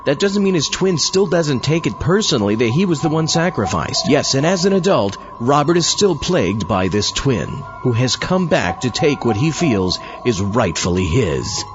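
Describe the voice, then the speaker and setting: A man's voice: mid-range voice, sing-song, moderate pace, dull audio. A man hosting a podcast in a playful, theatrical, mock-pompous way, delivering comic introductions to horror stories. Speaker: podcast host. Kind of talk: scripted comic horror-anthology introduction, talking with himself as a double. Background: prominent music.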